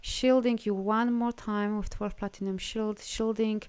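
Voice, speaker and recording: A woman's voice: medium pitch, fairly even intonation, unhurried, darkish tone, clear, resonant tone. A woman from Poland, hosting a casual spiritual live stream as an energy healer, gushing with praise for her viewers. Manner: effusive, gushing praise and frequent laughter.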